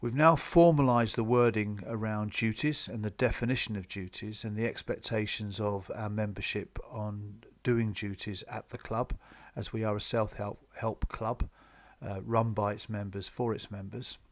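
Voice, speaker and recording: A man presenting club business to members in a measured, businesslike, explanatory way, partly from a script. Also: on the low side, steady tone. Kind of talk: slide presentation, semi-scripted explanatory talk.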